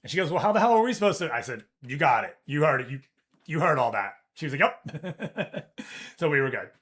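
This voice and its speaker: A man streams from his office, chatting loosely with viewers and retelling a run-in with a customer. He talks in an animated, profane, storytelling way, and his voice sits a little higher than you'd expect for someone who calls it deep. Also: a little fast. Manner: animated storytelling, swears, reads chat aloud. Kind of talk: casual chat with live chat.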